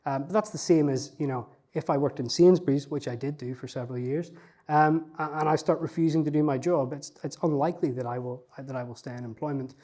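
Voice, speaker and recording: Man, researcher, interview answers, reflective, self-effacing, lots of fillers and restarts, warm mellow tone. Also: on the high side, quick pace. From not from Newcastle.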